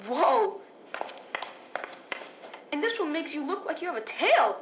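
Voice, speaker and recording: A woman voicing an excitable, wide-eyed little boy in a 1950s-style radio drama. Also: high-pitched, a little slow. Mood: amazed. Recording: dull, echoey, very noisy, distant radio recording.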